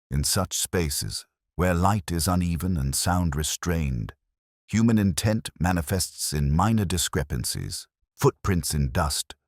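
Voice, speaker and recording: A man narrating a scripted first-person detective story in a measured, formal, literary manner. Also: extremely low pitch, no room sound, clean studio sound.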